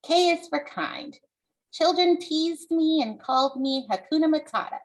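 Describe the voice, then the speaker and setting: A woman with a fairly high voice presents as a children's author. She moves between reading aloud and explaining, speaking deliberately and with an encouraging, teacherly manner to a young audience. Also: animated, low-quality recording. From United States.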